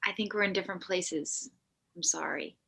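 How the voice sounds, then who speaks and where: A woman's voice: lowish voice, moderate pace, gravelly, sharp tone, husky, full character voice. A woman playing a blunt, exasperated sixteen-year-old girl in a comedy script table read. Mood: resigned.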